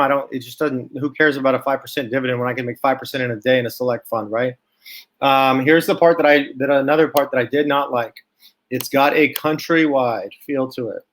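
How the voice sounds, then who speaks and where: Male finance vlogger, mid-pitched, blunt and skeptical, informal off-the-cuff delivery. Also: a bit bright. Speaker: stock market commentator, channel host. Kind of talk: informal solo commentary, thinking aloud from notes.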